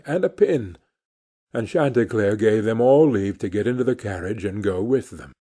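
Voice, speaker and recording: Mellow-voiced male storybook narrator. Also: mid-range voice. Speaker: audiobook reader. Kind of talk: scripted storybook narration of fairy tales.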